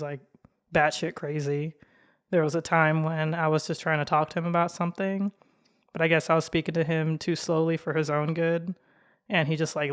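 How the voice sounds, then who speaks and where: Young woman podcast host with a slow, flat, monotone voice, casual and candid. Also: low-pitched, smooth. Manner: laid-back and candid, with frequent laughs and fillers. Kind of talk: casual solo podcast monologue.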